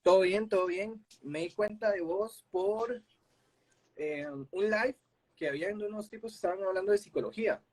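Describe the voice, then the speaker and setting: A man's voice: high voice, big pitch swings, normal speed, creaky. A Costa Rican man with a Costa Rican accent hosting a casual video-call interview, friendly, asking open questions. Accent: Costa Rican Spanish. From Costa Rica. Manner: friendly, asks open questions with short backchannels. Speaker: host. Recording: faint background noise.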